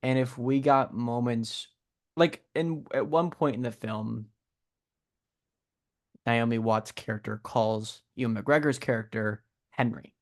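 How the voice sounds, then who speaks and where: A man from the US with a Midwest Chicago accent, co-hosting a film podcast in casual, halting chat. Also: medium pitch. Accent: Midwest American, Chicago. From USA. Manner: halting, trails off and restarts. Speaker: podcast co-host.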